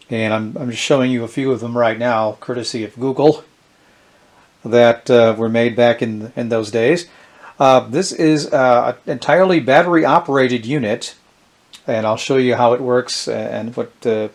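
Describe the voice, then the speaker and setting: A man with a mid-pitched voice presents vintage electronics in a casual demonstration style. He is unhurried and halting, working out his thoughts aloud as he explains the item in front of him. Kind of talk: casual product demonstration vlog.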